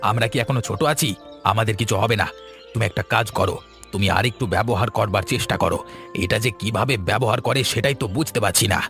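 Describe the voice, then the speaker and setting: A man playing a poor village husband and father, urgent and beseeching, in an animated fairy tale. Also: lowish voice, quick pace, faint music behind. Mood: eager.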